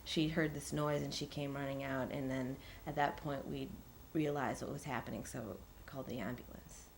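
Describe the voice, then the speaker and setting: A woman's voice: extremely low pitch, steady tone, moderate pace, gravelly. A woman playing an earnest witness in an audio drama, speaking hesitantly and conversationally as she recounts events to an investigator. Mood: candid, troubled. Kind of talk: audio drama interview testimony. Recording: faint background noise.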